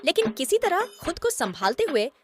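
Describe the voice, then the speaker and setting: A woman narrates a scripted horror tale in a fairly high, lively voice that moves up and down freely. Prominent music plays behind her storytelling. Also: moderate pace.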